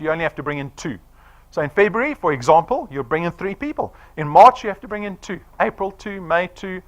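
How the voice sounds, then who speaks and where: Fortyish guy pitching to an audience, high voice that jumps all over, keeps going "right?". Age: 40s. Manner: animated, persuasive, quizzing the room with "right?". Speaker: presenter. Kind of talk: sales presentation to a live audience.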